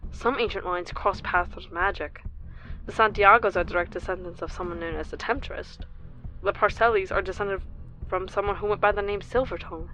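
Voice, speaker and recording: An actress playing a knowing mystical female mentor in an audio drama, speaking in a measured, cryptic, formal way. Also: on the low side, sharp tone, low-quality recording.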